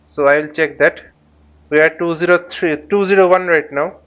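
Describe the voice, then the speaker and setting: A man giving an unscripted flight simulator tutorial, thinking aloud haltingly and often muttering to himself. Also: fairly high pitch, fairly even intonation, fairly bright tone, lo-fi sound. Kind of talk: unscripted tutorial commentary while flying a simulator.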